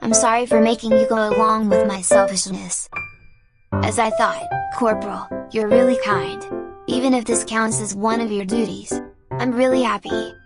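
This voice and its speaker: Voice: mid-range voice, steady tone, normal speed, lightly acted. A performer voicing a shy, earnest teenage boy recruit in an amateur comic dub, hesitant, polite and apologetic. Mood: tender, wistful. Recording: loud music behind the voice.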